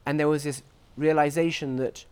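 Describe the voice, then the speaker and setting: A man giving reflective interview answers as a scientist, speaking in articulate, measured academic phrases. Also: a little high, fairly even intonation, a little mellow, smooth. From grew up in Portugal, England and the US. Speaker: interviewee, scientist at a research institute.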